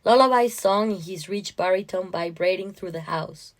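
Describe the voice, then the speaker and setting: A woman narrates a literary short story in the first person. Her delivery is measured and expressive, with a mid-range voice suited to reflective prose. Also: mid-range voice.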